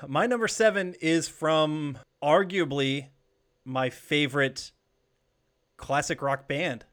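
A male podcast co-host with a slightly high voice chats casually about music. He sounds eager and enthusiastic, swearing freely as he talks. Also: slow, a bit bright. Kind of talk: casual chat.

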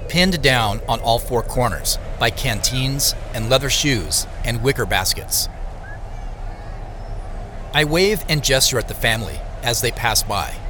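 A man's voice: mid-range voice, noisy background, natural voice. An actor playing an unsettled man who narrates his strange dreams in a first-person audio drama. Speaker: narrator and lead character. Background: music.

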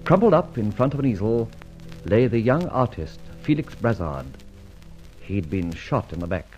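A man playing a suave, wry and commanding gentleman adventurer in a vintage radio adventure serial. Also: lowish voice, big pitch swings, moderate pace. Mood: wry narrating. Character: suave, self-assured gentleman adventurer and amateur sleuth. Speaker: narrator and lead actor. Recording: dull old radio recording, music behind.

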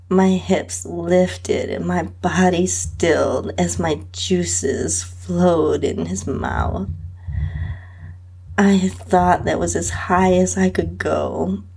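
A woman host reading a first-person erotic story in an intimate, sensual, drawn-out and breathy way. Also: lowish voice. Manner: breathy, sensual, drawn-out delivery with soft moans. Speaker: podcast host and narrator reading own story.

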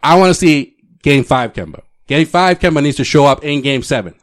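An emphatic man in casual sports talk with a slightly high voice. He repeats himself for emphasis and swears freely. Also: a little fast, no echo, studio quality. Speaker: sports podcast co-host.

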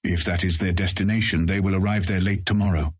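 Cold stern fantasy ranger, really low husky flat voice, curt and bossy, audiobook drama. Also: male voice, brisk, no room sound, studio quality. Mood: gruff, composed. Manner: curt, commanding, formal speech. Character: cold, stern ranger guarding the road, older than Raylan. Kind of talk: full-cast fantasy audiobook acting.

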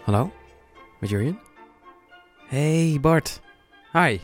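A man's voice: a little high, lively intonation, extremely slow, faint music behind. A man acting in a radio play as a cheerful, casual grown son on his phone. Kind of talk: naturalistic radio drama acting.

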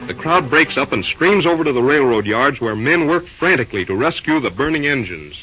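A man narrating an old radio drama in a measured announcer delivery. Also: medium pitch, expressive. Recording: dull old radio recording with faint music.

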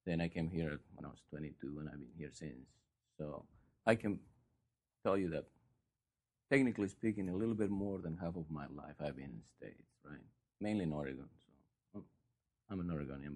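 Peruvian-born man, forties, deep gravelly voice, easygoing and wry. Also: a little fast. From Peru. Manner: relaxed, joking. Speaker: guest. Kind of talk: casual chat.

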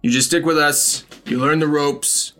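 A man's voice: fairly high pitch, steady tone, measured pace, sharp tone, soft background music, voice pushed up. A man playing an impatient, evasive cult henchman in a tabletop game, talking in casual, slangy, exasperated bursts. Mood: evasive, reassuring. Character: gruff, exasperated cult raider, reassuring and evasive.

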